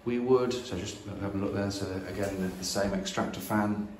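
Man, very low voice, phone held out, empty rooms, faint hiss. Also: fairly even intonation, normal speed, darkish tone. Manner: casual, hesitant, many fillers and restarts. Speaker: property investor. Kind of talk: walkthrough video. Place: empty house indoors. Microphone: phone at arm's length.

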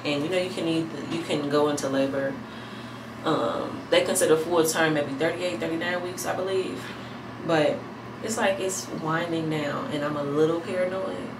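Low-voiced woman rambling in an echoey bathroom. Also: moderate pace. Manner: relaxed, rambling, thinking aloud. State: heavily pregnant. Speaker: vlogger. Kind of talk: casual chat to camera. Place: small bathroom at home, indoors. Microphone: camera mic a few feet away. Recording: echoey room, distant mic, air conditioner hum.

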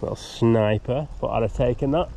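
A man's voice: mid-range voice, moderate pace, creaky, warm tone. A young man chatting upbeat to camera while fishing on a windy riverbank, picked up by an action camera. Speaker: fishing vlogger. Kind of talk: casual outdoor commentary. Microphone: action camera on body or tripod.

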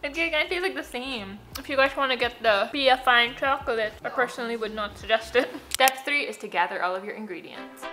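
A woman's voice: fairly high pitch, brisk, hardly any pauses, sharp tone, rough recording. A woman in her twenties vlogging casually at home, chatty and giggly, talking into a handheld camera in her kitchen. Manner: chatty, giggly, with frequent "like" fillers. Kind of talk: casual vlog chat. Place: home kitchen and dining room, indoors. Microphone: handheld camera held at arm's length.

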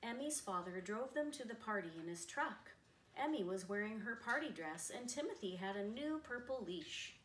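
A woman's voice: on the high side, animated, normal speed, a bit bright. Middle-aged woman reading aloud, roomy distant sound. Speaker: read-aloud storyteller. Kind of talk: children's picture book read-aloud. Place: living room, seated on a sofa. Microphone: camera a few metres away. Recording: roomy, distant camera mic, faint noise.

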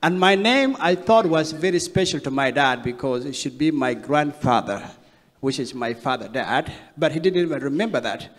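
A man's voice: on the high side, animated, normal speed. A man from South Sudan telling a personal story to a congregation, acting out dialogue as he goes. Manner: storytelling, acts out dialogue with raised voice. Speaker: speaker giving a testimony. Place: large wood-panelled worship hall with audience. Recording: long hall reverb.

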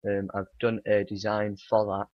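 A man with a croaky morning voice, rambling through casual gameplay commentary with many ums and uhs. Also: lowish voice, completely flat delivery, moderate pace, dry recording. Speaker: gaming channel host. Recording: dry, dull lo-fi home mic.

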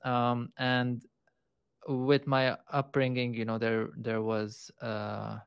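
A man's voice: mid-range voice, monotone, slow pace, vocal fry, clear, resonant tone, no echo, studio quality. A man in his thirties from Lebanon, a guest telling his story in a remote interview, reflective and earnest, with frequent fillers. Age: 30s. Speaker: guest, animal rights activist. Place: small home room. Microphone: studio microphone on boom, close.

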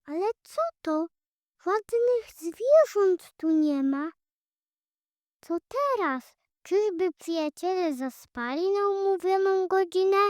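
A woman voicing an eager, chatty little squirrel boy with an excited, childlike manner in a children's audio fairy tale. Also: very high voice, slow pace, no room sound, studio quality, pitched above the performer's own voice, full character voice. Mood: puzzled, impatient.

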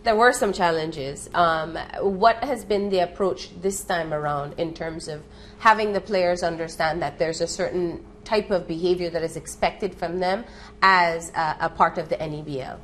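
A woman's voice: on the low side, moderate pace, few pauses, a bit bright, a little hiss or hum. A woman hosting a studio talk show and putting questions to her guests. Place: TV studio set, indoors.

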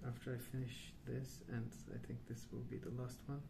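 A man speaking softly and hushed in an unhurried, halting ASMR ramble, often trailing off. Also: medium pitch, little pitch movement. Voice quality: soft, hushed. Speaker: ASMR video host. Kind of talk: soft-spoken ASMR rambling. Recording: clear background noise.

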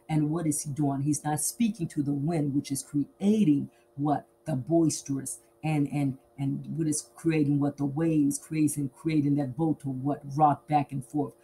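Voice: medium pitch, dark tone, a little hiss or hum, poor audio. A preacher delivering an emphatic sermon, repeating phrases and asking rhetorical questions then answering them.

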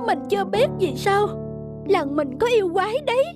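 A woman playing a distressed village wife in an animated folktale, anxious and plaintive. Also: extremely high pitch, normal speed. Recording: music behind the dialogue.

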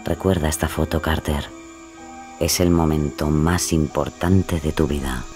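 Solemn audio drama storyteller, super deep voice, deliberate, bit of music behind. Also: male voice, fairly even intonation, a little mellow. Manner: solemn, deliberate, short phrases. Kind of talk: audio drama second-person narration. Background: faint music.

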